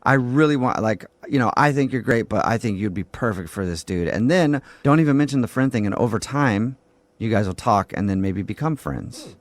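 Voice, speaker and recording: A man's voice: medium pitch, lively intonation, fairly quick, no room sound. A man hosting a morning radio show, chatting casually and giving advice in a conversational way. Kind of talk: radio prank-call banter and advice.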